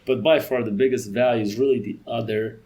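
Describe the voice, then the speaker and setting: A man in his thirties from Romania chats as a podcast guest, talking in quick bursts with restarts and small laughs. His voice sits in a middle register. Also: mid-range voice, fairly even intonation, mellow. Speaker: podcast guest, company CEO. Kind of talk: casual interview chat.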